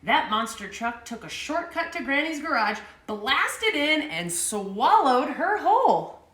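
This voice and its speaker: A woman hosts a story time and reads a picture book aloud to children in an upbeat, chatty way. Her voice is high, bright and animated. The echoey room adds a roomy ring. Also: slow. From Colorado, United States. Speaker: story time host and reader.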